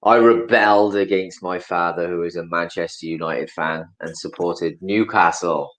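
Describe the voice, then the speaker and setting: A man's voice: low voice, moderate pace. A man from Wales, a podcast guest in casual, rambling chat. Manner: chatty, rambling, animated impressions.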